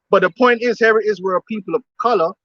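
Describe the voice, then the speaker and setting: A man's voice: high voice, fast talker, no room sound, muffled recording. A man preaching outdoors on the street, emphatic and repetitive, often laughing between his points.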